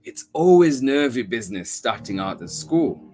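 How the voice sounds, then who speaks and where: A man's voice: medium pitch, sing-song, normal speed, dark tone, faint music behind, pitched above the performer's own voice, lightly acted. A man voicing a practical, teasing husband in a storybook reading. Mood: hearty. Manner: hearty, firm.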